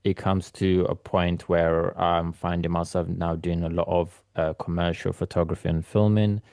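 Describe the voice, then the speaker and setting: Brief: man in his thirties, very low and gravelly, flat delivery, rambling guest with many ums, dry sound. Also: normal speed, dry recording. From born in Ethiopia, raised in London. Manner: rambling, many ums and restarts, soft laughs. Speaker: guest photographer. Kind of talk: casual interview chat. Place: remote call from London.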